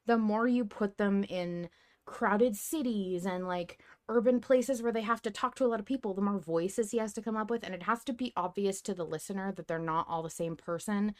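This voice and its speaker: A woman's voice: mid-range voice, normal speed. A woman hosting a podcast in casual, enthusiastic discussion. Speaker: podcast host.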